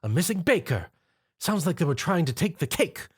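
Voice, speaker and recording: A posh, theatrical unicorn mare from a storybook reading, acted by a man. Her voice is raised high and full of sweeping swoops. She drawls every line with grand refinement. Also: big pitch swings, normal speed, breathy edge. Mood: grandly dramatic. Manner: refined, dramatic, says darling.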